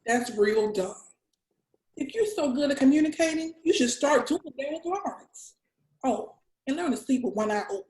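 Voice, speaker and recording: A woman's voice: sits high, expressive, moderate pace, darkish tone, roomy sound, rough recording. A woman playing a crude, funny, slangy babysitting friend in a comedy script table read. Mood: blunt, stern. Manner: blunt, slangy, sassy.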